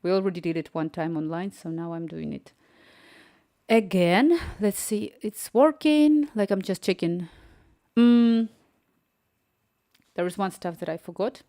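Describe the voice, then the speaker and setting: A woman's voice: medium pitch, measured pace, dark tone. Ukrainian woman live-coding and chatting. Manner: chatty, thinks aloud, reads chat messages and dictates prompts. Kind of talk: casual live-stream commentary while coding.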